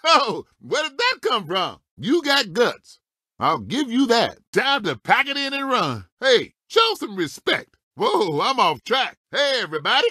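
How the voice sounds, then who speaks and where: An actor playing a feisty old talking race car in a video game, a folksy, cocky veteran racer firing off exclamatory one-liners. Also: male voice, very high voice, wildly swooping pitch, measured pace, pushed and bright, breathy edge, no room sound, clean studio sound, exaggerated voice. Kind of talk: animated video game character voice clips.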